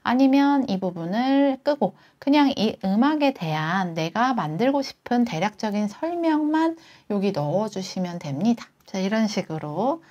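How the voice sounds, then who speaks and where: A woman hosting a software tutorial, walking through each step as she explains it. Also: medium pitch, very animated, moderate pace, few pauses, muffled recording. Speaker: tutorial host. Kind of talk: software tutorial, screen walkthrough.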